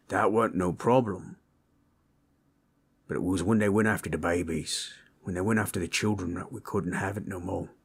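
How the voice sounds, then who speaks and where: A gentle orc storyteller, acted by a man with a very low voice. He takes his time, soothing a listener in an intimate roleplay audio with plain, rustic, ungrammatical speech that carries a husky grain. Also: exaggerated voice. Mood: grave, earnest. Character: gentle, comforting male orc, rough-spoken old-lore storyteller.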